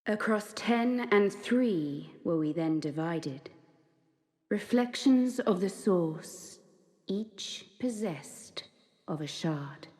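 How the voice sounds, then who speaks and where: A woman's voice: medium pitch, expressive, a little mellow. A woman playing an ancient goddess of light in a fantasy game, solemn, maternal and sorrowful, speaking in grave archaic phrases. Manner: grave, archaic, measured phrasing with weighty pauses. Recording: long cavernous reverb.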